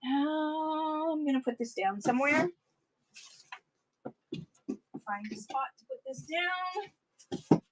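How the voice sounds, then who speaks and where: A woman selling books in a chatty live-stream auction, her voice getting hoarse, with playful sing-song asides. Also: high voice, expressive, takes it slowly, long pauses, noisy background.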